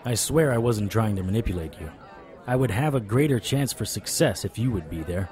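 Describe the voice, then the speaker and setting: Low, mellow wisecracking private eye played by a man. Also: lively intonation, fairly quick, a faint crowd, natural voice. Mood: persuasive. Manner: wry, flirtatious, persuasive. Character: wisecracking, stubborn male supernatural private investigator. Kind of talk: audio drama acting with noir internal monologue.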